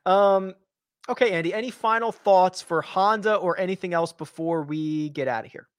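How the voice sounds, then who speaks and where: A man's voice: high voice, normal speed, clear, resonant tone, no room sound, studio quality. Upbeat male sports podcast host. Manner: energetic, chatty, upbeat sign-offs. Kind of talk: casual sports betting chat.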